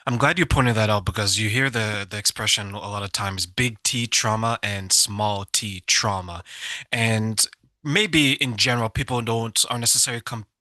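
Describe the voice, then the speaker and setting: A man's voice: on the low side, moderate pace, sharp tone, no room sound. A man hosting an interview, asking friendly, reflective questions. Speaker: show host. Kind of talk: interview conversation.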